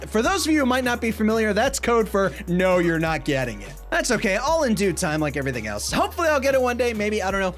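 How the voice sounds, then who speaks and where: A man in his thirties, a gaming vlogger reading viewer comments in casual chat, slightly stuffy from a cold, animated and gesturing in a small foam-lined room. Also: fast, hardly any pauses, soft background music. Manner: animated, gesturing a lot, swings between excited and low asides. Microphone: desk mic, webcam framing at head and shoulders.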